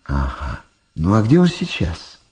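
An actor playing a sly old power broker in a 1970s Soviet radio drama, mockingly affable, unhurried and fond of repeating his words. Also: deep voice, huge pitch swings, a little mellow, heavily acted. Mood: sardonic, amused.

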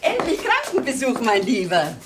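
An actress playing a sharp-tongued, disapproving wife in a 1980s German radio drama, pointed and sarcastic under brittle politeness. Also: female voice, a little high, huge pitch swings, normal speed, very noisy. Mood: brittle, pointedly sociable.

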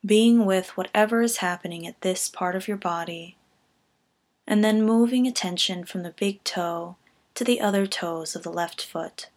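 Female meditation guide: medium pitch, gentle and composed, carefully measured scripted read with softly landed phrases. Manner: calm, gentle, measured delivery with soft phrase endings.

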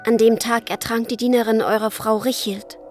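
A devoted young woman of medieval times, in love and yearning, played by an actress in a radio drama. Her voice is fairly high and earnest. Soft music sits behind it. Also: level tone, normal speed, natural voice. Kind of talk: German radio drama acting.

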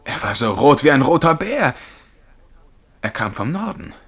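An actor playing a dreamy young clerk in a German radio play, telling his memories in a halting, dramatic way. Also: medium pitch, sing-song, a bit bright, breathy edge, heavily acted. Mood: hushed wonder. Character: Charlie, dreamy young bank clerk recalling past lives.

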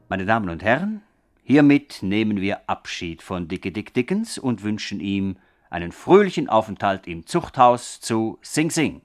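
A man reading credits as a scripted, tongue-in-cheek 1960s radio announcer in a mock-solemn manner. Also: on the low side. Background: music.

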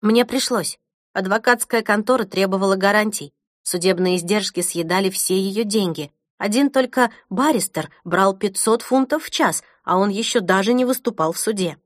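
A woman's voice: medium pitch, moderate pace, no echo, studio quality, lightly acted. A woman voicing an earnest, worried woman caught in a legal fight, speaking in clipped phrases, in a storybook reading.

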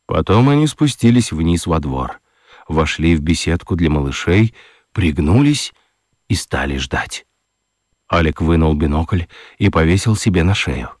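Мужчина-рассказчик детского радиоспектакля читает выразительно, с драматическими паузами. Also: male voice, low-pitched, animated, a little mellow, no room sound, studio quality. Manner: deliberate, dramatic pauses. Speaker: narrator. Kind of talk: expressive scripted storytelling for children's radio play.

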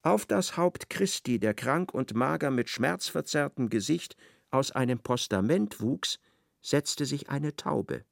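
Ein Mann als Erzähler eines literarischen Hörspiels. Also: male voice, mid-range voice, expressive, a little slow, gravelly, no echo, clean studio sound. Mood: measured narration. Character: expressive male narrator of a literary radio play. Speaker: narrator. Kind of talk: literary radio play narration.

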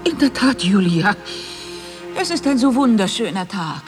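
A woman's voice: medium pitch, expressive, measured pace, big-room sound, music in the background, close to an everyday voice. An actress playing a genteel lady of Romantic-era society in a radio drama, speaking expressively. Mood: gracious.

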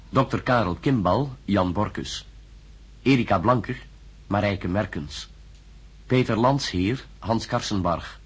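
A male announcer with a markedly raspy, slow delivery. He reads radio drama credits in a formal, measured way, with a fairly deep voice. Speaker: announcer reading cast credits.

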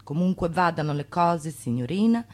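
Stern mother in a radio play, lowish voice, formal and measured. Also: animated. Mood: grave, disapproving. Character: stern, grave mother writing a warning letter. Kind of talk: theatrical dramatic reading.

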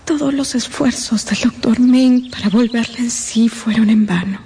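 A woman's voice: on the high side, warm tone, slight background noise, close to an everyday voice. An actress playing a sorrowful, tender young woman recounting a death in an emotional radio drama style. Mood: grieving. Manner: slow, emotional, pleading. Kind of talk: dramatised radio novel narration. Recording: music under the voice. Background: music.

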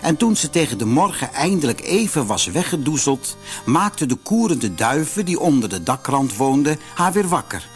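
A man reading a children's fairy tale as scripted narration, in an expressive, measured storybook manner. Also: fairly high pitch, faint music behind.

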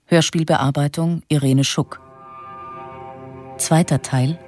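Male announcer, radio play titles, solemn, slow and slightly high. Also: fairly even intonation, darkish tone, soft background music. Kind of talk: program announcement.

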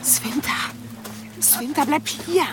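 Anxious, high-voiced villager. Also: female voice, takes it slowly. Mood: alarmed. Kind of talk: German audio drama acting. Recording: music under the voice.

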